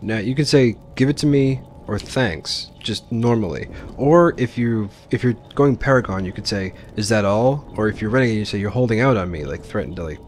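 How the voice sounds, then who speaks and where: A man's voice: lowish voice, animated, brisk, few pauses, a little hiss or hum. A man giving informal, explanatory commentary as he hosts a let's play of a video game. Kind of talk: casual gameplay commentary.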